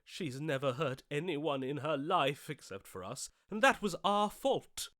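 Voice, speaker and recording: An actor voicing a grandiose, lovesick romantic hero in a video game, with florid, theatrical, archaic declamation. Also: male voice, fairly high pitch, expressive, measured pace, fairly bright tone, no echo, clean studio sound. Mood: indignant, bitter.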